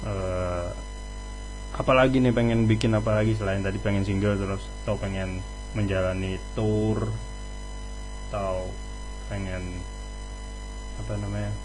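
A man hosting a casual radio interview, halting as he searches for words. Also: on the low side, fairly even intonation, over music, low-quality recording. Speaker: radio host interviewing a band.

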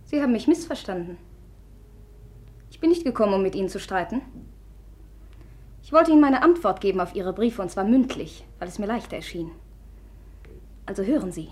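A woman's voice: mid-range voice, lively intonation, fairly quick, pauses often, a little mellow, natural voice. An actress playing Aglaya, a proud and determined young woman, speaking in a commanding, cutting way in a 1950s radio play. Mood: cold determined.

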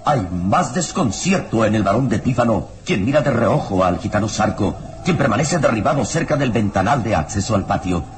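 A man's voice: on the low side, expressive, normal speed, noisy background, lightly acted. A man performing as the solemn, suspenseful storyteller of an old adventure radio serial, with dramatic scripted narration.

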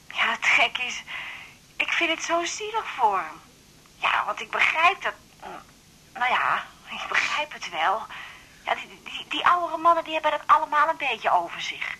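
A woman's voice: on the high side, big pitch swings, normal speed, sharp tone, husky, heavily acted, poor audio. An actress playing a flustered, old-fashioned middle-aged mother in a radio drama, chatting hesitantly over the telephone. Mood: hesitant, guilty. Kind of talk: 1980s Dutch radio drama acting.

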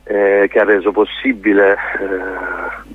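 A man's voice: low voice, level tone, slow, husky, dry recording. A man speaking as a theatre director in a spontaneous radio interview, earnest and often hesitant as he searches for words. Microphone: telephone. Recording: narrow tinny phone line.

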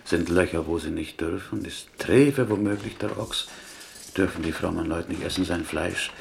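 A pious, resigned prisoner and butcher in a radio drama. His voice is deep and creaky, his phrasing ponderous and courteous, with faint noise on the recording. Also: normal speed. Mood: muttering, ritual. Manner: ponderous, courteous, inverted word order. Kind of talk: German radio play acting.

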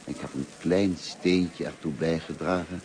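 Deep-voiced, kindly older schoolmaster. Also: male voice, fairly even intonation, measured pace, a little mellow. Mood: wistful, fond. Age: middle-aged. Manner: courteous, musing, hesitant and self-effacing. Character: kindly, talkative, lonely grey-haired male French teacher. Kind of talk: Dutch radio play acting. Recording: noisy old radio play recording.

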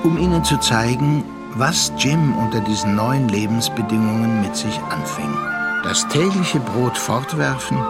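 An actor narrating a literary radio play as a seasoned seafarer, reflective and measured. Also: male voice, mid-range voice. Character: seasoned male seafarer recounting a troubled younger friend's story. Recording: studio radio play with music underneath. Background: music.

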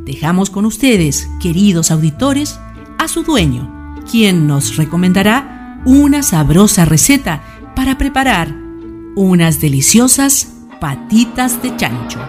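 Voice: mid-range voice, big pitch swings, a little slow, darkish tone, soft background music. A narrator with a Chilean accent delivering scripted, dramatic narration for a radio drama.

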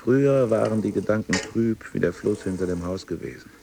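A man's voice: lowish voice, a little fast, creaky, background noise. An actor playing a brooding, earnestly boastful male gym member, musing in poetic phrases in a radio play.

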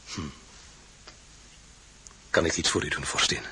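A man playing a proud, restless, commanding emperor in an audio drama, with a raspy, forceful voice. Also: a little slow. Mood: brooding. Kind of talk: Dutch audio drama acting.

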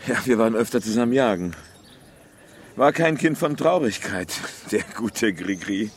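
An actor as a deep-voiced, blustering provincial man. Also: expressive, moderate pace, natural voice. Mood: sentimental. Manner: hesitant fillers, sneering chuckles, menacing bluster. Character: blustering provincial hunting-club treasurer, hostile and self-righteous. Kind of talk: radio crime drama acting.

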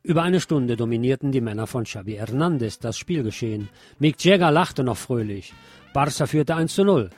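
A man presenting a scripted news magazine on the radio as narrator, with a husky voice. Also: mid-range voice, animated, moderate pace, darkish tone.

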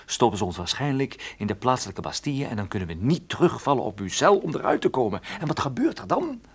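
Dry, wry private detective, animated delivery. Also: male voice, medium pitch, fast talker, husky, full character voice. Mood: urgent, wry. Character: cool, wry male private detective on the run. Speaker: radio drama actor. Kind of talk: radio play dialogue.

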